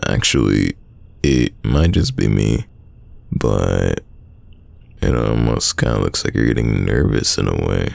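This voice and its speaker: Man playing a young male friend, extremely low gravelly voice, teasing, stubborn, casual, sighing, intimate audio roleplay, dry close sound. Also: a little mellow, no echo, full character voice. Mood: teasing, insistent. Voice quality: very deep, gravelly voice. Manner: casual, teasing, drawn-out sighs.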